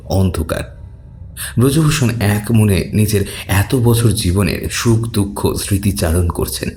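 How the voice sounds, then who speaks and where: A man narrates a horror audio story in a deep voice. His delivery is scripted, measured and full of suspense. Music plays behind him. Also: a little mellow.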